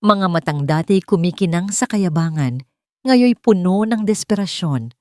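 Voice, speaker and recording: A woman with a Filipino accent narrating a scripted love drama in a measured, dramatic way. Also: on the low side, lively intonation, darkish tone, no room sound, clean studio sound. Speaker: storyteller.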